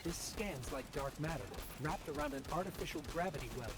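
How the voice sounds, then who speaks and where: A man's voice: fairly high pitch, brisk, lightly acted. A man voicing a helpful robotic companion in a video game, speaking in a precise, curious, earnest manner. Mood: intent. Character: inquisitive, analytical robotic companion, male, helpful. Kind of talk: scripted video game dialogue. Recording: clear background noise of game ambience.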